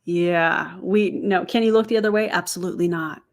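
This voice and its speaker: A woman's voice: fairly low pitch, steady tone, fairly quick. A woman in her forties runs a reaction commentary. Her tone is sarcastic and incredulous, and she keeps asking her viewers questions directly. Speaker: commentary host.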